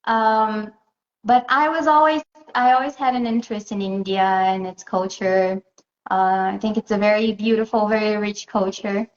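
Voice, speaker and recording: A woman from Southeast Brazil, answering interview questions in a hesitant way and pausing often to find her words. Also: medium pitch, fairly even intonation. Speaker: interview guest. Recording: echoey, muffled sound.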